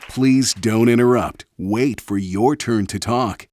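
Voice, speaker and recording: A man narrates an educational read-along for young children. He puts simple questions and gives clear-cut instructions in short phrases, in a medium-pitched voice. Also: slow, over loud music, a loud crowd. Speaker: narrator and quiz host for children.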